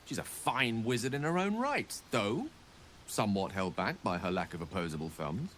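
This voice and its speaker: Eloquent British wizard, theatrical, wistful, swooping intonation, game dialogue, faint ambience behind. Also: male voice, fairly low pitch, big pitch swings, moderate pace, a bit bright, slight background noise. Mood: warm, reflective. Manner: theatrical, wordy, refined. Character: eloquent, wistful, self-deprecating male wizard. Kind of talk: video game dialogue acting.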